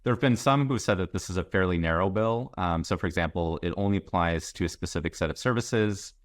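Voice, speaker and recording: A man on a video call giving a measured, methodical explanation of health policy as a researcher. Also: sits low, no echo, studio quality.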